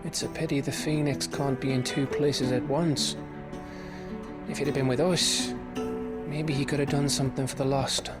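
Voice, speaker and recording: An actor playing a loyal, easygoing scout in a video game, down-to-earth and informal. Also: male voice, mid-range voice, level tone, normal speed, loud music behind the voice, close to an everyday voice. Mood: regretful. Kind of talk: video game cutscene acting.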